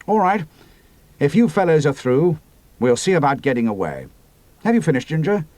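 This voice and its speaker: A man voicing a brisk, commanding male squadron leader who gives crisp, decisive orders. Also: fairly high pitch, big pitch swings, a little mellow, dry recording, voice pushed up.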